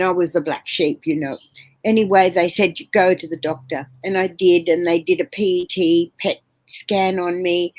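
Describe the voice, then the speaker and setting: A woman in her seventies telling her personal story as an interview guest on a video call from home, earnest and halting with many fillers and restarts. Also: fairly low pitch, darkish tone. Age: 70s. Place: home room.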